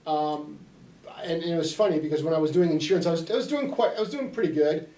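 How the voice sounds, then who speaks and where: A man's voice: fairly high pitch, quick pace, dark tone. A middle-aged man, an insurance broker, chatting as a guest in an easygoing, hesitant way with frequent fillers. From Chicago, USA (born in Sicily). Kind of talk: casual interview conversation. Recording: roomy, distant from the mic.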